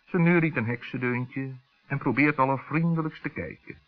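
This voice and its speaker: A man with a husky voice narrating a scripted children's radio story. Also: medium pitch, normal speed, soft background music. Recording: dull old radio recording.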